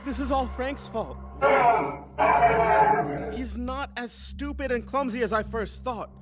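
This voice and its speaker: An actor playing a flustered, two-faced, scheming boss in a radio comedy, exclaiming theatrically. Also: male voice, extremely high pitch, slow pace, over music. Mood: scheming, vengeful. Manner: theatrical, exclaiming, stammering cover-ups.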